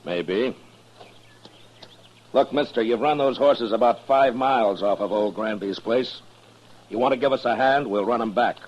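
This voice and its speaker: A man's voice: mid-range voice, a little slow, pauses often, highs cut off, close to an everyday voice. An actor playing a stern, suspicious frontier lawman in a radio western, terse, dry and commanding. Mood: probing, dry. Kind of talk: 1950s radio western acting. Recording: noisy old-time radio recording.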